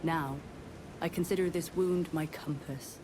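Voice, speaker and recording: A woman playing a devoted, composed warrior in a fantasy game, speaking in a measured, formal and earnest manner. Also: low voice, fairly even intonation, noisy background. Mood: earnest, courteous. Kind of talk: dramatic fantasy game dialogue.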